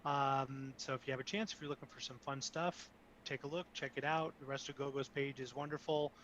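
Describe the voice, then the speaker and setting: A man who runs a photography studio, speaking casually and relaxed. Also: mid-range voice, a little fast. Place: studio near Boston. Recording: dull lo-fi with faint noise.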